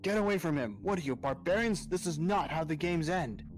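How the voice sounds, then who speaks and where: A man's voice: on the high side, lively intonation, fairly quick, faint music behind, voice pushed up, lightly acted. A man playing an earnest security program guarding a digital world in an amateur sci-fi audio drama. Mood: outraged, protesting.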